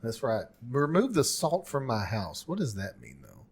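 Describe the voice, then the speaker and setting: A man with a mid-pitched voice talks as a podcast co-host. His speech is casual and colloquial, loosely phrased and easygoing in its wording, as in banter between friends. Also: very animated, moderate pace, slightly rough.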